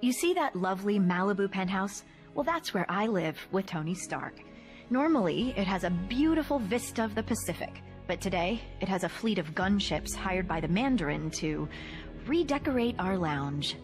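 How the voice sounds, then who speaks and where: A woman's voice: mid-range voice, lively intonation, moderate pace, close to an everyday voice. An actress playing a chatty woman who narrates game cutscenes with wry, joking asides. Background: music.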